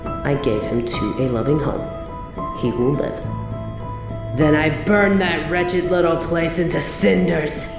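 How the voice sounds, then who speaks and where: A woman's voice: sits low, slow pace, roomy sound, music in the background. A woman playing an evil, possessive queen in an audio drama, theatrical and menacing with mock tenderness. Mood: cold, menacing. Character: evil obsessive possessive queen, cruel, gloating, sadistic. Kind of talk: scripted audio roleplay drama, addressed to the listener.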